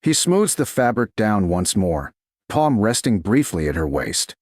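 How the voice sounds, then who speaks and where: A man narrating a scripted romance story in a measured, deliberate, dramatic way, phrase by short phrase. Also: lowish voice, big pitch swings, darkish tone, dry recording. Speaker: audiobook narrator.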